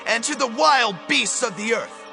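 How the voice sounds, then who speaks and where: An actor playing a bold, devout young shepherd in a dramatized scripture reading, declaiming with passion. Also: male voice, extremely high pitch, lively intonation, measured pace, vocal fry, music in the background, heavily acted. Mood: defiant.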